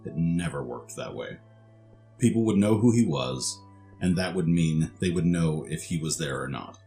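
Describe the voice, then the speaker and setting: A man's voice: very deep voice, lively intonation, normal speed, warm tone, faint music behind. A man narrating a scripted story for an audio drama.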